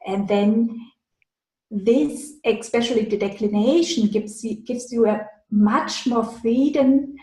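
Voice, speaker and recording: A woman's voice: fairly high pitch, takes it slowly, mellow, echoey room, poor audio. A woman with a German accent, an online German teacher, answering interview questions casually and explaining with examples. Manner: thoughtful, explains with examples, frequent fillers.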